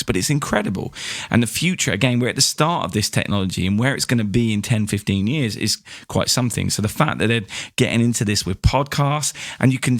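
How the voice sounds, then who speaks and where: Guy hosting a podcast, lowish voice, dry close sound, just chatting. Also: creaky, fairly bright tone, no room sound. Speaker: podcast host. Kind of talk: casual chat.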